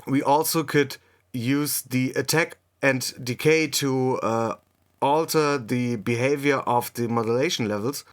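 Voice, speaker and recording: A man who is a native German speaker, hosting a music production tutorial in English, unscripted and halting as he thinks aloud while demonstrating. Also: medium pitch. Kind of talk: unscripted software tutorial narration.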